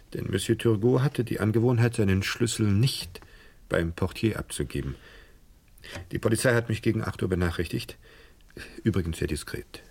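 An actor playing a courteous, discreet hotel director in a radio crime drama, gravelly, formal and careful with halting politeness. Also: male voice, deep voice, a little mellow, full character voice.

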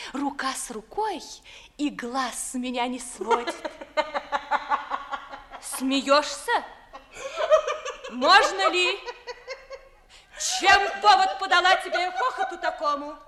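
An actress playing a dreamy, haughty young noblewoman in love, in a classical verse comedy, with a genteel, measured, theatrical delivery. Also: high voice, animated, fairly bright tone, a little hiss or hum, heavily acted. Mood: indignant. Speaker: stage actress. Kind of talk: classical verse comedy acting, 1950s audio play.

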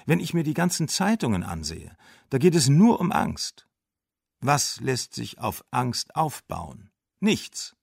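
A man voices a translation over an interview in a slow, deliberate studio read. His voice is gravelly and a little husky. The sound is dry and close, with lively swings of pitch. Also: dry recording.

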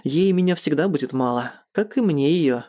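A performer voicing a self-satisfied, unrepentant young male lover in an audiobook story, calm, assured and tender. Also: mid-range voice, normal speed, close to an everyday voice. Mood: cocky, tender.

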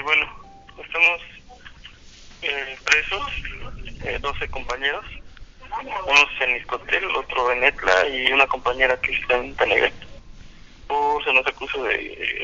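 A Mexican man giving a hesitant personal statement, pausing often as he speaks over the telephone. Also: mid-range voice, bright, forceful tone. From Oaxaca, Mexico. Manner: hesitant, halting delivery with frequent pauses. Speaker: imprisoned activist. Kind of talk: spoken personal statement in a phone call. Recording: noisy, muffled prison telephone line.

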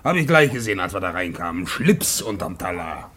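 An actor playing a middle-aged communist pub landlord in a radio drama, stubborn, blustering and argumentative. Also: male voice, sits low, sing-song, brisk. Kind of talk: German radio play acting. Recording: noisy old radio drama recording.

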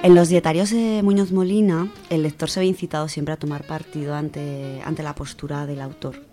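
A woman's voice: deep voice, steady tone, normal speed, over music. A woman with an accent from Spain presents an informal book segment in a chatty, colloquial, enthusiastic way. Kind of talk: informal spoken book review.

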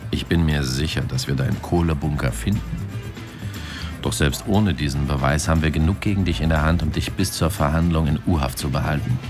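Stern, deep-voiced police interrogator. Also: male voice. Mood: menacing calm. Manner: insistent, taunting, deliberate. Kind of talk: naturalistic German radio crime drama acting. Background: music behind the voice.

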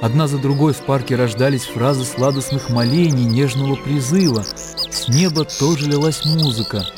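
A man narrating literary fiction for a radio drama in a measured, expressive storytelling manner. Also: medium pitch. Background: music.

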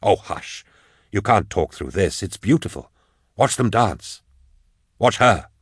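A man's voice: on the low side, lively intonation, takes it slowly, breathy edge, no echo, clean studio sound, exaggerated voice. A man reading the part of a brooding, impatient man in an audiobook, clipped and commanding. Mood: distracted, insistent. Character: curt, brooding, impatient man, a customer of authority.

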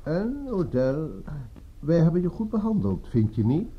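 An actor playing a smooth, commanding boss in a radio play, formal and insinuating, with veiled menace. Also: male voice, a little high, big pitch swings, a little slow, exaggerated voice. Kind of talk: radio drama dialogue. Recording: muffled, noisy radio play recording.

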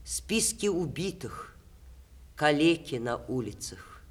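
An ageing theatre actress in a classic radio play. She is proud and emotional, speaking with theatrical expression and carefully measured diction. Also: low voice, very animated, breathy edge, heavily acted. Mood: sombre recounting. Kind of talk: 1960s Russian theatre radio play acting.

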